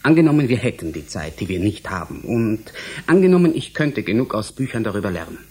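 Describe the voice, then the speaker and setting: A man in a German radio drama playing a cautious, sceptical young scientist, measured and questioning, with a husky voice. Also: lowish voice, sing-song, darkish tone. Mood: doubting, deliberate. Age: young adult.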